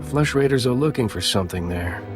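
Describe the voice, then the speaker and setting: Man as a low-voiced, serious military commander. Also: animated, brisk, music in the background, natural voice. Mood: grave report. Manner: formal, matter-of-fact. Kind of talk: video game voice acting.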